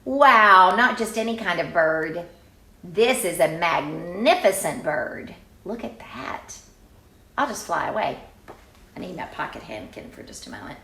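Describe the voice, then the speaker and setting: A middle-aged woman reading a picture book aloud to children, expressive and exclaiming, with chatty conversational asides. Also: fairly low pitch, unhurried, creaky. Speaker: children's storyteller and drama teacher. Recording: roomy, echoey sound.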